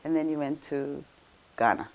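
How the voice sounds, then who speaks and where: A woman's voice: deep voice, normal speed. Friendly woman hosting a talk show. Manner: friendly, laughs along, short encouraging replies. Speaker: host.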